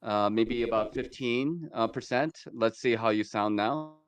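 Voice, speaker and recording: A man's voice: medium pitch, unhurried, clean tone. A man hosting a live stream interview, speaking politely with lots of filler words. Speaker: interview host.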